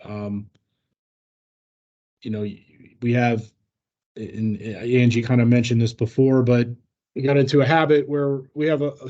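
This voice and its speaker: Halting man explaining in dull meeting audio. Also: medium pitch, mellow. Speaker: company trainer answering staff questions. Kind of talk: informal work training discussion.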